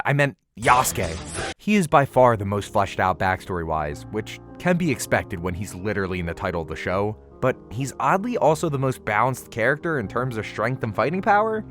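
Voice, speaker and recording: A man's voice: mid-range voice, fairly bright tone. A man giving a casual, opinionated video essay commentary in an informal, sarcastic manner, with some casual swearing. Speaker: video reviewer and host. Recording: music behind the voice. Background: background music.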